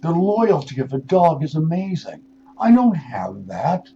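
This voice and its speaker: An older man, in his sixties or beyond, hosting a radio show with a rambling spiritual monologue, musing and halting, then leaning into words for emphasis. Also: fairly high pitch, very animated, gravelly, dark tone. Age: 60s or older. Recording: dull lo-fi radio audio with noise.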